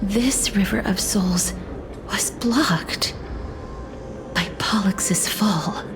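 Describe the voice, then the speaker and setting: Game role: gentle sad young maiden, half-whispered, extremely unhurried delivery over music. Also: female voice, medium pitch. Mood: hushed, apprehensive. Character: gentle, melancholy young maiden searching for her past. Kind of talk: dramatic video game acting.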